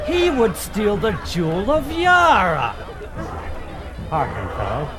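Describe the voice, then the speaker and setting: An actor playing a fat, gross, boastful kidnapper in a fantasy audio drama, mocking and blustering. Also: male voice, high voice, huge pitch swings, takes it slowly, a crowd in the background, full character voice. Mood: mocking, condescending. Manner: blustering, bawdy, theatrical, jeering.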